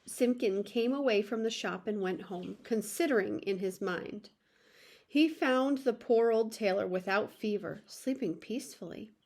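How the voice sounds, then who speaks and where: A woman reading a children's story aloud in an expressive storytelling manner. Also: mid-range voice, a little slow. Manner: expressive, addresses young listeners.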